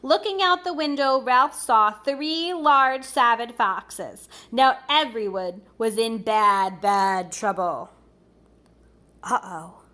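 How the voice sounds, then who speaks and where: A woman teacher reading a storybook aloud to young children expressively, pausing to explain and comment. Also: a little high, bright, forceful tone. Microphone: recorded on camera for a video.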